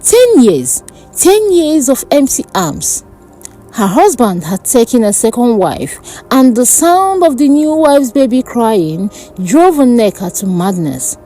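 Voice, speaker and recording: A woman narrating a dark folk tale as a storyteller, in a deliberate, theatrical manner. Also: on the high side, big pitch swings, faint music behind, low-quality recording.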